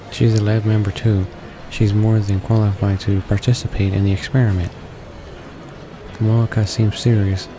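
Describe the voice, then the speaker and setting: A man's voice: on the low side, noisy background. A man reading visual novel text aloud as a let's play host, stumbling over words as he goes.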